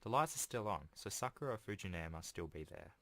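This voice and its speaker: A man's voice: sits low, animated, a little fast, bright, forceful tone. A man hosting a video game playthrough, reading a visual novel aloud with casual, self-deprecating asides. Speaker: let's play host.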